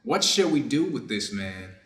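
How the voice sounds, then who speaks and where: A middle-aged Japanese surgeon, read by a man in a staged story. He speaks formally and deliberately, reflective and clinical yet conflicted. The room adds a clear echo around the voice. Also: mid-range voice, sing-song. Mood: clinical concern. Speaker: reader's theater performer. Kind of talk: staged reading of a short story, measured delivery. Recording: echoey room.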